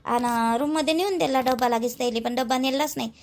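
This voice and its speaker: A woman's voice: high-pitched, quick pace, darkish tone. A woman playing a dutiful young village wife in a cartoon, plaintive and rambling, with a Vidarbha Marathi accent. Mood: worried. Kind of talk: homely cartoon drama dialogue.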